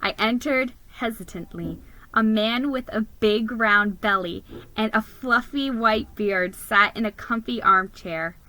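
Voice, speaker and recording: A woman giving a theatrical, expressive dramatic reading of a children's story. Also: a little high, poor audio.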